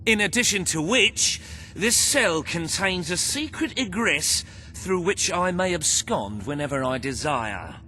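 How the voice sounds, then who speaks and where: Pompous, theatrical, wordy crime boss. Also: male voice, high voice, lively intonation, unhurried, bright, forceful tone, full character voice. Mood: smug, officious. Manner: florid, over-enunciated, long-winded formal diction. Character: pompous, verbose male crime boss, scheming and indignant. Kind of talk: theatrical video game voice acting.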